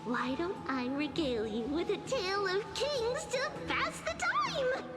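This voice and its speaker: A tiny impish female sprite tells tales in an extremely high voice, slowly and with grand drama. It is game narration, with music behind it. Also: sing-song, long reverb tail, full character voice. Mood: storytelling.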